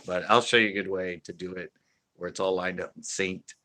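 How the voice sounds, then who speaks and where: Deep-voiced rambling man on a live stream. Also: level tone, brisk, bright, forceful tone, dry recording. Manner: rambling, laughs often, many fillers and restarts. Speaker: fishing channel host. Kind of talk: casual live-stream chat.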